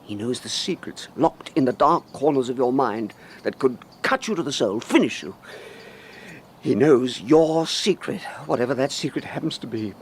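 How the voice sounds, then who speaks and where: An actor playing an anxious middle-aged English husband in a radio science fiction mystery, with a British accent and halting, agitated speech that repeats words. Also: male voice, medium pitch, lively intonation, slight background noise, lightly acted. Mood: anguished. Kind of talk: radio drama acting.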